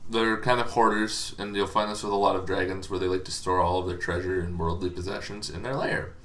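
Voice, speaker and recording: A man's voice: deep voice, level tone, fairly quick, fairly bright tone, a little hiss or hum. A man hosting a casual, informal explainer on tabletop game monsters, talking in a rambling, hesitant way as if thinking aloud.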